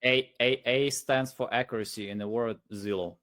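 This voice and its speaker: A man's voice: medium pitch, steady tone, moderate pace, a bit bright. A man who speaks English as a second language, giving unscripted sales advice in a rambling, repetitive, emphatic way. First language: non-English first language.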